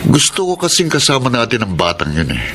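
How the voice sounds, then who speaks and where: A man playing a gruff, calculating, shady gang boss in a radio drama. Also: mid-range voice, huge pitch swings, a little fast, sharp tone, slight background noise, full character voice. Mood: brooding. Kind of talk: Tagalog radio drama acting. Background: music.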